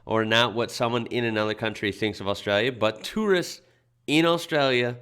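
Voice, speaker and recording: American man, reaction host, low smooth voice, relaxed rambling delivery with lots of fillers. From United States. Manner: chatty, loose, with frequent fillers and restarts. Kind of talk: casual reaction commentary.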